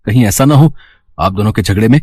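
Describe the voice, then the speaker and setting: A man voicing an earnest young married son with a husky voice, placating and persuasive, in a cartoon moral story. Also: fairly low pitch, lively intonation, fairly quick, dark tone. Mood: pleading.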